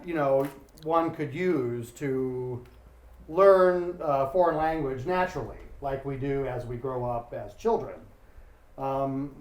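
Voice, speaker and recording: A man's voice: mid-range voice, darkish tone. Man from Ohio, welcome speech, lots of fillers, echoey hall. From Ohio, US Midwest. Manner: informal, many fillers, self-deprecating jokes. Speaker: embassy commercial counselor. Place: embassy event room. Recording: echoey room.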